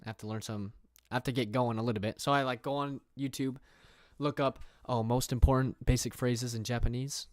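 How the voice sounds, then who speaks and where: Young guy from england just chatting on stream, lots of likes and restarts, mid-pitch voice, dry sound. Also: fairly even intonation, brisk, few pauses, no echo. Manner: rambling, many fillers and restarts, reads viewer questions aloud. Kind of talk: casual livestream chat. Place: room.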